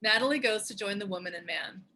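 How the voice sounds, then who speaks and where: A woman narrates scripted action for a table read. Her medium-pitched voice is bright and forceful, with a husky edge. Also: fast talker. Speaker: narrator and show co-creator. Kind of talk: scripted stage-direction narration.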